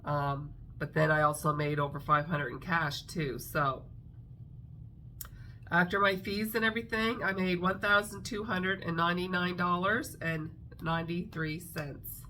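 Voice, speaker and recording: A middle-aged woman talks casually to her viewers, rambling with plenty of fillers. Her voice sits a little low but sounds bright and forward, and it has a stuffy, nasal quality from congestion. A faint hum sits behind her. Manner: chatty, rambling with many fillers. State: congested, nasal from a sinus infection. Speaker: craft vlogger. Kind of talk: casual chat to camera.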